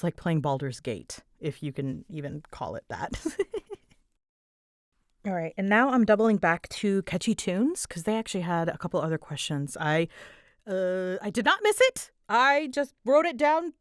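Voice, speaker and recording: A young adult woman chatting casually as she answers fan questions, with an animated way of retelling things. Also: medium pitch, measured pace, no room sound, studio quality. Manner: chatty, animated retelling with quoted asides and giggles. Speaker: audio roleplay creator answering fan questions. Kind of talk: casual Q&A chat.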